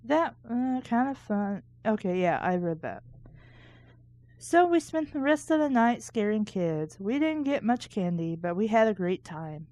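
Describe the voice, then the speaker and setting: A woman's voice: medium pitch, lively intonation, measured pace, darkish tone, no echo. Dry-sounding, irreverent woman reading aloud casually. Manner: irreverent, sarcastic, mildly crude commentary. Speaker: reader and reviewer of children's books. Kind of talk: casual book read-aloud with joking asides.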